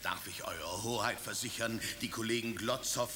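An actor playing a servile, obsequious court servant in a radio play, with flowery, overly formal speech. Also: male voice, fairly low pitch, normal speed, echoey room, noisy background, lightly acted. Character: fawning male court servant, ornate and servile. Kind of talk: radio drama acting.

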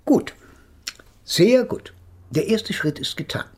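An actor playing a male psychotherapist in a German radio drama, analytical, formal and nervously amused, with a husky, gravelly voice. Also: high-pitched, lively intonation, takes it slowly, in a whisper, heavily acted. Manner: hesitant, formal, clinical phrasing.

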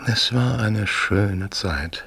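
An actor in a German radio play as a suave, self-satisfied French marquis, courtly and flowery in his flattery. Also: lowish voice, very slow, slightly rough, exaggerated voice. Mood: contrite, relieved. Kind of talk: German radio play acting, period drama.

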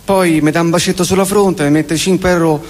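A Roman man in his forties delivers a comic theatre monologue in a fairly high voice. He leans on repetition and deliberate pauses, and his words ring out with the long reverb of a live hall. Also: steady tone, a little mellow. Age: 40s. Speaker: stage performer.

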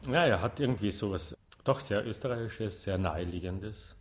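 A man's voice: fairly low pitch, normal speed. A man giving casual, spontaneous answers as an interviewee.

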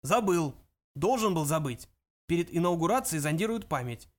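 A man's voice: fairly high pitch, animated, normal speed, natural voice. A man voicing a flustered, flippant young great-grandson, a galactic adventurer, with a hesitant, fidgety, pleading manner in an audiobook. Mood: defensive.

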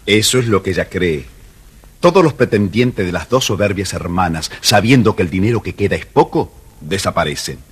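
A man narrating a children's storybook record in a measured storytelling voice. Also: low-pitched, expressive, a little hiss or hum.

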